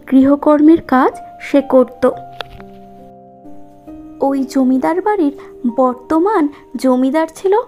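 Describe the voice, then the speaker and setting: A woman's voice: high-pitched, warm tone. A woman narrating a scripted cartoon folk story as the storyteller.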